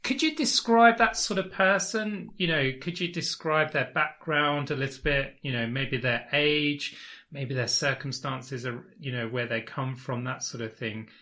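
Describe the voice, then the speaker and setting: A man's voice: mid-range voice, unhurried, pushed and bright. A man hosting a podcast interview who laughs often and stammers while building his questions. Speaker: podcast host. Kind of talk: casual interview.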